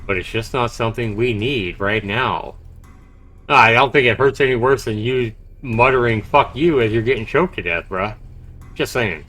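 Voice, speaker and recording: A man's voice: medium pitch, moderate pace, a bit bright. A man livestreaming a visual novel, reading the text aloud dramatically and reacting expressively as he comments. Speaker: game streamer. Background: game music.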